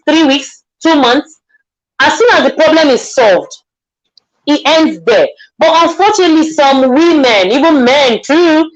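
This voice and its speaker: A woman's voice: high-pitched, lo-fi sound. A Nigerian woman, a makeup artist, explaining things earnestly in a live online interview and often checking that she is understood. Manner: earnest, repeats herself, asks "do you understand".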